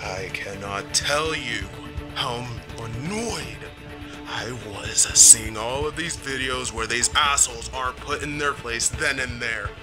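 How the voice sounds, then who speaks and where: A man's voice: medium pitch, slow, close to an everyday voice. A man playing an indignant villain in an audio drama, sputtering and incredulous, with music behind the voice. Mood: flustered, bitter. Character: indignant, flustered male villain caught by a heroine. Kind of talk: audio roleplay drama acting.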